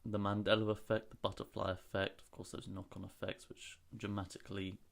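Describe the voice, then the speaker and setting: A man's voice: lowish voice, monotone, brisk, vocal fry. A young man raised in Singapore and Hong Kong, co-hosting a casual podcast. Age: young adult. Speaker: podcast co-host.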